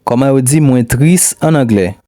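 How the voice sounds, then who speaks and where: A man reading questions for a language lesson in a steady, repeating pattern. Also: medium pitch, normal speed, no room sound, clean studio sound. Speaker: language teacher.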